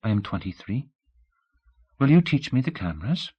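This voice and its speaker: A blunt, shrewd young woman, poised and softly spoken, who gets straight to the point, put on by a man reading an audiobook. Her voice sits well above the reader's own deep tone. Her questions are pointed and inquisitive. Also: sing-song, normal speed, breathy edge, muffled recording, full character voice. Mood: candid. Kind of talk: audiobook character voice.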